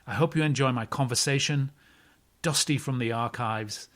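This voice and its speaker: A man hosting a podcast, who reads the episode introduction and then asks interview questions in a friendly, measured way. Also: medium pitch, fairly bright tone. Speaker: podcast host.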